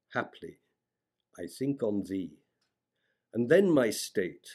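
A man reciting classic poetry as a reader, in a measured, expressive way. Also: on the low side. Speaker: sonnet reader.

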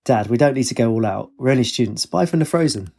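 A man's voice: mid-range voice, lively intonation, quick pace, vocal fry, darkish tone, husky. A man voicing a fond but exasperated young woman student in a story, with a dry, chiding manner.

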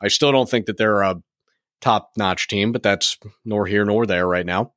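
A younger man talks alone on his podcast, his voice a bit hoarse and raspy and sitting on the low side. He rambles, restarting sentences and filling gaps with ums. The sound is dry and close, with no room around it. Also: normal speed, fairly bright tone, dry recording. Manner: rambling, frequent restarts and fillers. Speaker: podcast host. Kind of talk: solo podcast chat.

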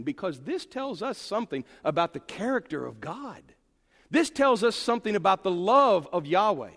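High-voiced male pastor, lively emphatic preaching, long church echo. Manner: animated, emphatic preaching with sudden drops to quiet. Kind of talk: sermon. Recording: long hall reverb.

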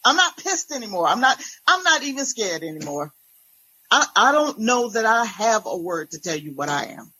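A woman's voice: on the high side, very animated, moderate pace, pushed and bright, husky, no echo, exaggerated voice, poor audio. A woman voicing a fed-up pastor's wife in an emphatic, exasperated manner in a story reading. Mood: weary, intense. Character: fed-up, furious pastor's wife confronting her husband.

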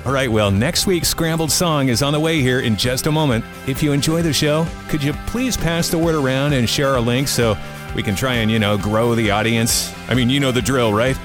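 A man's voice: medium pitch, fairly quick. A man hosting a morning-show style program with casual, wisecracking, conversational patter. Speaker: radio show host. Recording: music bed behind the voice. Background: background music.

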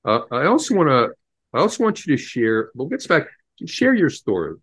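A man's voice: mid-range voice, big pitch swings, moderate pace, fairly bright tone, breathy edge. A man hosting a podcast in a casual, enthusiastic way, often laughing and restarting his sentences. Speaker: podcast host.

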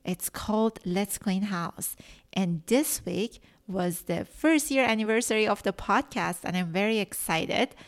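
A woman whose first language is Farsi, hosting a solo coaching podcast in an earnest, measured teaching tone with soft whispered stresses. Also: medium pitch, lively intonation. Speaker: life coach and podcast host.

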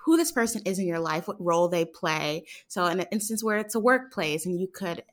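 A young woman talking in a relaxed, thoughtful podcast chat, with lots of "like" fillers. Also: fairly low pitch, brisk. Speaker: guest.

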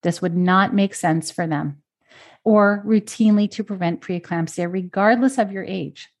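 A woman's voice: mid-range voice, moderate pace, darkish tone. Emphatic woman podcast host. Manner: emphatic, repeats key points. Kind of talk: explanatory podcast talk.

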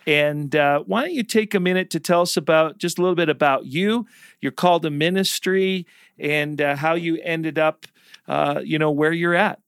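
A man hosting a church podcast, upbeat in his intro and halting with fillers when he asks questions. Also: fairly high pitch, creaky, a bit bright, clean tone. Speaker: podcast host. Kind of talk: scripted intro then casual interview.

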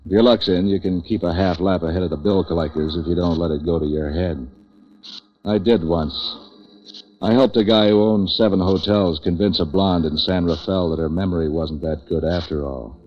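An American man playing a cynical, street-wise waterfront hustler in deadpan 1940s radio noir style. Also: deep voice, normal speed. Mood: wry, world-weary. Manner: hard-boiled, deadpan wisecracking. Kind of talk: 1940s radio noir acting, first-person narration. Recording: dull, lo-fi 1940s radio recording.

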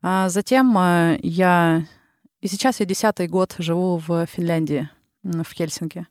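A woman in her thirties from the Urals with a Ural Russian accent, a psychologist talking slowly and reflectively as a podcast guest, with frequent filler sounds. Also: on the low side, a little mellow, no room sound, studio quality. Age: 30s. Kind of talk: casual interview talk.

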